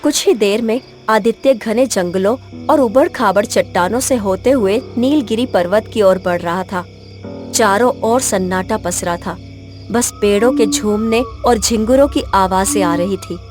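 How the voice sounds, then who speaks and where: A woman's voice: medium pitch, measured pace, darkish tone. Woman narrating a dramatic fairy tale. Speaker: storyteller, narrator. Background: background music.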